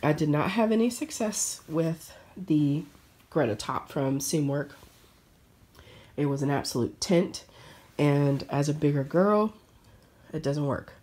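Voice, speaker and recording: A middle-aged woman chatting casually about her sewing plans, relaxed and pausing with fillers. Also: low-pitched. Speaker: sewing vlogger.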